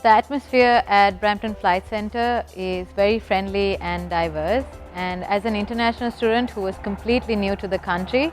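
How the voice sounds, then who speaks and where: Young woman student pilot, soft music behind. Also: mid-range voice. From Sri Lanka. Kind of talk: testimonial interview.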